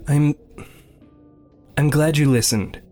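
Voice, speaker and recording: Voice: mid-range voice, takes it slowly, mellow, faint music behind, lightly acted. An actor playing a skeptical, sardonic young recruit in an audio drama, hesitant and emotionally guarded. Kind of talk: naturalistic audio drama dialogue.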